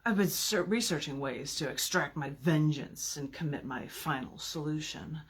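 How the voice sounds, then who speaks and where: A woman's voice: low-pitched, normal speed, slightly rough. A woman reading her own poetry aloud in an informal, theatrical and emphatic way, with playful, chatty asides.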